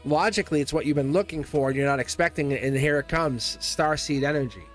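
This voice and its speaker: A man's voice: fairly high pitch, fast, faint music behind. A man giving a live-streamed tarot reading, chatty and teasing, laughing often as he talks to his viewers. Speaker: tarot reader.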